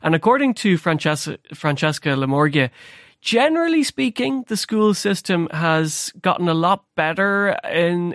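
A man, a radio reporter explaining his findings in a measured, informative studio chat. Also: fairly high pitch, fairly bright tone, no echo.

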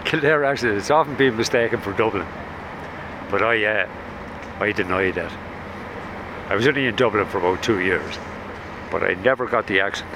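A man with a fairly low voice talking on a busy street. He sounds amused and matter-of-fact. Traffic noise runs behind him. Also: normal speed, pauses often. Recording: loud traffic noise outdoors.

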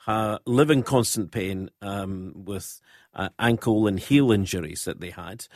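A man's voice: medium pitch, no echo, studio quality. A man presenting a radio phone-in as its host. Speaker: radio talk show host. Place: radio studio.

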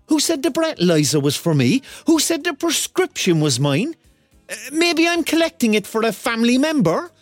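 A man's voice: high-pitched, dry recording. An actor playing a touchy, defensive man of thirty in a radio drama, with a Cork accent and an indignant, rambling, repetitive manner. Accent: Cork Irish. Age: 30s. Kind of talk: radio drama monologue and dialogue.

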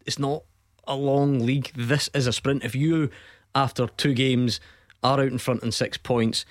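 A man's voice: medium pitch, fairly even intonation, measured pace, dry recording. A Scottish man hosting a radio football phone-in, chatty with dry teasing banter, on a close studio mic. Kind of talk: sports phone-in chat.

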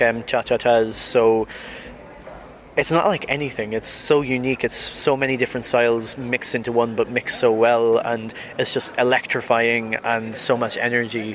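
An Irish man, a news editor, giving his views in an outdoor street interview. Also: medium pitch. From Ireland. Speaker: news editor and contest fan.

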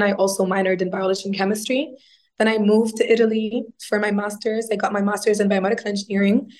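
Flat-voiced woman in her thirties. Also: medium pitch. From Egypt. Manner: earnest, explanatory. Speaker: guest astronaut. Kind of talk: radio interview answers.

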